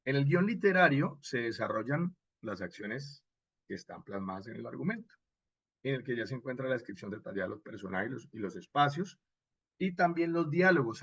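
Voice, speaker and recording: A man giving an informal online lecture as an instructor, expressive and didactic, often checking in with short questions. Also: mid-range voice, slightly rough, no echo, dull audio. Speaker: radio drama instructor.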